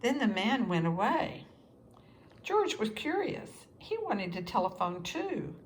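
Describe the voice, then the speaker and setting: Slow, very animated woman reading a children's story. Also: on the high side, a little hiss or hum. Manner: expressive, with deliberate pauses for young listeners. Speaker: storybook reader. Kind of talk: scripted children's story narration, read aloud.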